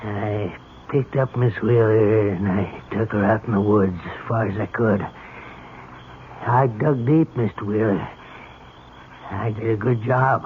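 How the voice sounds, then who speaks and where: A man's voice: fairly low pitch, natural voice. An actor playing a nervous, simple country henchman, deferential and plain-spoken, in an old-time radio drama. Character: nervous, simple rustic cab driver turned hired killer. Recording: muffled, noisy old radio recording.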